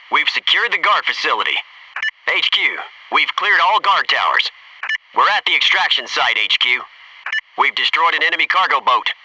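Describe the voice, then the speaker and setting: A man's voice: fairly high pitch, far from the mic, noisy background, close to an everyday voice. A man playing a disciplined, alert American Navy SEAL squad mate in a military video game, giving clipped, businesslike tactical reports.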